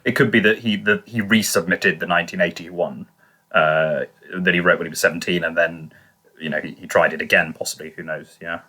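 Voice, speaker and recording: A man with a fairly low but bright, forward voice chats as a podcast co-host. He offers analysis in a tentative, qualified way. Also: fairly even intonation, brisk. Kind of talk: casual analytical discussion.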